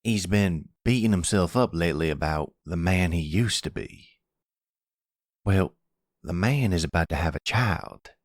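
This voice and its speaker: A man voices an older father and mob boss in an intimate audio roleplay. The voice is very low and slow, earnest and gentle with a regretful, reassuring air. It sounds close and dry. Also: no room sound. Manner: measured, fatherly, earnest, soft-spoken. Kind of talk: intimate ASMR roleplay acting.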